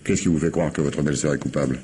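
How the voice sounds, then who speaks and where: An actor playing a shrewd, probing police commissioner in a radio mystery, with a colloquial, wry manner. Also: male voice, very deep voice, steady tone, rapid-fire, dark tone, slight background noise. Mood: stern, probing. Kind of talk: French radio mystery play acting.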